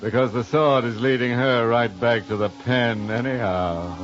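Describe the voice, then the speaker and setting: An actor playing a ghoulishly jovial horror host, theatrical and sardonic, in 1950s radio style. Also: male voice, medium pitch, unhurried, lightly acted. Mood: gleefully macabre. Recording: dull 1950s radio recording, music behind.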